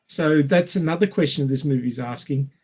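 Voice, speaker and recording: Higher-voiced man discussing films. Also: normal speed, darkish tone, husky. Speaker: podcast co-host. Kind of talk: casual film discussion.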